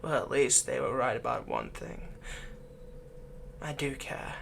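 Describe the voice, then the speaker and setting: A man playing a possessive young fantasy villain in an ASMR roleplay, tenderly soothing a captive in a soft voice that often turns breathy. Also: mid-range voice, huge pitch swings, unhurried, background noise, pitched below the performer's own voice, exaggerated voice. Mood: tender, consoling. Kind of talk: ASMR roleplay, intimate scripted monologue.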